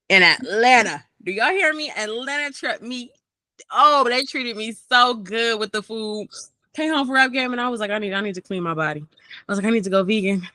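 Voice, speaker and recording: A woman's voice: medium pitch, sharp tone, dry recording. A young woman, a rapper from Maryland, talking casually and animatedly as an interview guest, laughing often. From Maryland, USA. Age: young adult.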